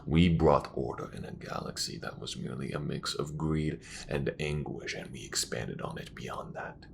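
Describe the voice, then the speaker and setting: A man playing a proud, bitter old imperial commander near death in a sci-fi audio drama, with a grave, grandiose, measured delivery. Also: a little hiss or hum, full character voice. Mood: proud, scornful. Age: older. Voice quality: very deep, gravelly.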